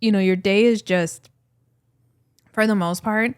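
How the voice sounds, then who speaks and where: A woman's voice: mid-range voice, fairly even intonation, measured pace, smooth, no room sound, studio quality. A woman co-hosting a casual podcast, talking wryly and matter-of-factly about her work as a nurse. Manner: laughs often, wry and matter-of-fact. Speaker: co-host, emergency room nurse. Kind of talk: casual chat.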